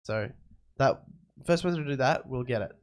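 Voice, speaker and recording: A man co-hosting a livestream with a hoarse, gravelly voice that he has partly lost, speaking in brief, low-key replies. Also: animated, normal speed. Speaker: stream co-host. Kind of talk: casual chat.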